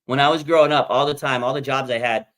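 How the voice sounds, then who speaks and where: An American man of about forty from Las Vegas, hosting a casual live stream and reading out fan comments in an excitable way. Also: fast talker. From Las Vegas, Nevada, USA. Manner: excitable, with sudden pitch jumps. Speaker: livestream host.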